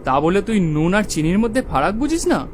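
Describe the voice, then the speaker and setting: A man voicing a high, teasing cartoon pal. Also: very animated, fast talker, a little hiss or hum. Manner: playful, teasing, laughing. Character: jolly teasing young male friend. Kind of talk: cartoon voice acting.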